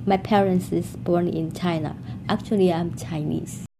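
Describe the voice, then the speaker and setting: A woman in her forties from Vietnam who works for the county, speaking haltingly in simple phrases. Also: lowish voice, steady tone. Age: 40s. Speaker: county worker. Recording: clear background noise.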